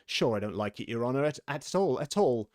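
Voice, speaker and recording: A man's voice: mid-range voice, expressive, a little fast, a little mellow, close to an everyday voice. A man voicing a reluctant, deferential animal servant in a storybook, with a rustic manner.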